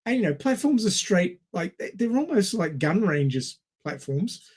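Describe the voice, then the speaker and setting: A man with a high-set voice talks as a podcast co-host. He is conversational and animated, with a wry, sweary way of telling a story. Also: measured pace, slightly rough. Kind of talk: casual film discussion.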